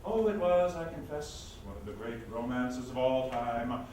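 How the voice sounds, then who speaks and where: An actor playing a flamboyant, scheming impresario in a comic stage reading, mocking and melodramatic with a hammy, projected delivery. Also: male voice, mid-range voice, unhurried, a little mellow, lightly acted. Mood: grandiose. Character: flamboyant, scheming theatrical impresario, taunting a rival. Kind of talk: comic stage acting, readers theatre. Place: indoor stage or hall. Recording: echoey stage, distant mic, faint noise.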